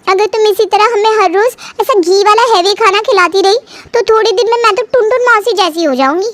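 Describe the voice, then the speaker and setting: A woman's voice: very high voice, brisk, a bit bright, dry recording, pitched above the performer's own voice. A woman voicing a whiny young daughter who complains and frets about her weight in a cartoon story. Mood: whiny, refusing.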